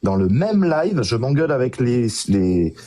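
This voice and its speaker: A man in a heated online debate, combative and fast to cut in, defending himself and firing back at his opponent. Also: medium pitch, big pitch swings, mellow. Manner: fast and combative, cuts in often. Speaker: debate participant.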